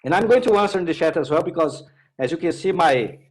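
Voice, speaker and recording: A Brazilian man with a somewhat high-pitched voice presents a tech workshop online. His English carries a Brazilian accent from his native Portuguese. He talks informally and haltingly, repeating words and checking in with "right?" as he goes. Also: expressive, rough recording. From Minas Gerais, Brazil. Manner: halting, repetitive, with "right?" tags. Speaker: technical presenter and workshop instructor.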